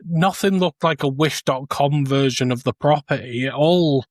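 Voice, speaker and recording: A man co-hosting a podcast, giving casual review opinions in a measured, hesitant delivery. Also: mid-range voice, no room sound. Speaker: podcast co-host.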